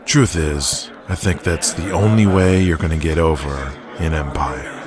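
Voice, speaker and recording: A man acts out a shrewd wrestling boss in an audio drama, with a very low voice. He talks with businesslike authority and likes control, while a crowd sounds behind him. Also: fairly even intonation, measured pace. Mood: pushy. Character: shrewd, controlling male pro-wrestling promoter, commanding boss.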